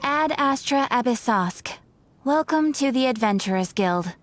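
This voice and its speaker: An actress playing a composed, courteous guild receptionist in a video game, speaking formally and politely. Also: female voice, a little high, lively intonation, measured pace. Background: background music.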